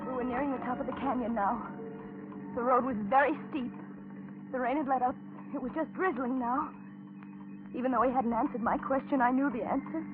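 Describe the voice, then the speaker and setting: An actress playing a frightened, lonely young waitress, tense and hesitant, in a 1940s radio thriller. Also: female voice, sits high, fairly even intonation, fairly quick, close to an everyday voice. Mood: fearful, wistful. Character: frightened, lonely young waitress held captive, telling her ordeal. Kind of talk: 1940s radio thriller acting and first-person narration. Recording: tinny 1940s radio recording with music.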